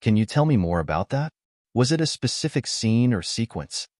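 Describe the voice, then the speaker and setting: A man's voice: mid-range voice, big pitch swings, moderate pace, darkish tone, no echo, clean studio sound. A man working as an entertainment interviewer, asking questions in a friendly, conversational way during a press interview.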